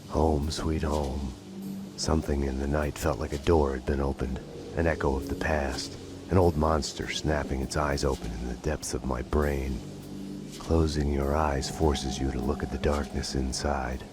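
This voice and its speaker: An actor playing a brooding, world-weary police detective, narrating a noir game in a grim deadpan. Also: male voice, measured pace. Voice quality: very deep voice. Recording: clear music under the voice.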